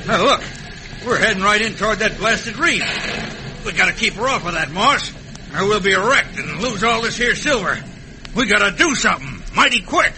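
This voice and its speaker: High-voiced, blustering outlaw. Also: male voice, lively intonation, moderate pace, music in the background. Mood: alarmed. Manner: blustering, folksy rough grammar. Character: greedy, hot-tempered western outlaw boatman. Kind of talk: 1950s western radio drama acting. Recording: noisy old radio drama recording.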